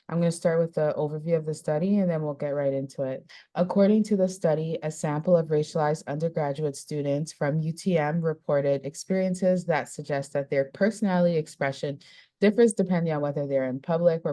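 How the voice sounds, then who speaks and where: A young woman hosts a podcast interview, reading out study summaries in a measured way and then asking friendly questions. Her voice sits low for a woman, with a dark, mellow tone. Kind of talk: podcast interview, partly read from a script.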